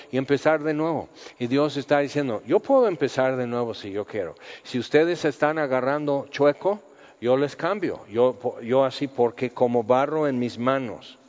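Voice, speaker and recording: Guy preaching, chatty, lots of questions, echoey hall, kinda muffled. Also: medium pitch. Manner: conversational, with rhetorical questions and voiced quotes. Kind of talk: sermon. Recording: muffled audio with long hall reverb.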